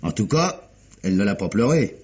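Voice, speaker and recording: A man playing a chatty, eager police inspector in a mid-century French radio crime drama, telling his findings in a colloquial, animated way. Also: on the low side, normal speed. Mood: excited storytelling. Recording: dull old radio recording, clear hiss.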